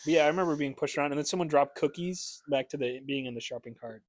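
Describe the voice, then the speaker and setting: A man's voice: on the high side, fast. A young man as a podcast guest, joking casually with slangy, sweary banter.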